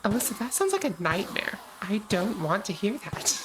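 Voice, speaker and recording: An American woman chatting casually on a gaming livestream, answering chat with frequent pauses and ums. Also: medium pitch, sing-song, noisy background. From United States. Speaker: streamer.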